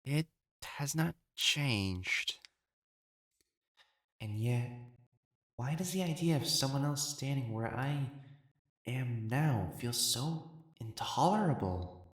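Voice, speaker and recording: A man playing an elegant, proper matchmaker in a dramatic audio roleplay, speaking formally and haltingly as he hides his jealousy. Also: mid-range voice, expressive, a bit bright, breathy edge, heavily acted. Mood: flustered, agitated. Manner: formal, halting, stammering when flustered.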